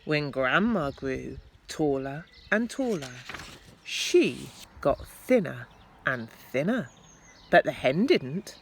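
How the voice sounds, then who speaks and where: A woman teacher reading a children's story aloud expressively, with deliberate, dramatic emphasis. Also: deep voice, very animated, gravelly, a little hiss or hum.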